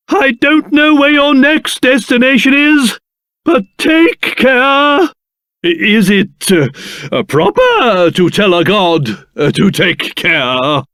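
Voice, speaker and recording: A man voicing a pompous, fussy, excitable little old king in a video game, speaking theatrically with old-fashioned flair. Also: extremely high pitch, dry recording, studio quality, exaggerated voice. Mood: genial, self-satisfied. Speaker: voice actor.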